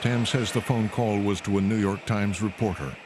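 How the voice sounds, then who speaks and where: Low-voiced American documentary narrator, male. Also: steady tone, moderate pace, very noisy. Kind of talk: scripted narration. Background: background music.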